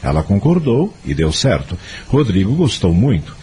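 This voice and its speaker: A man's voice: fairly low pitch, big pitch swings, normal speed, dark tone. A man with a Brazilian accent narrating a scripted audio drama as a storyteller. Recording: music behind the voice.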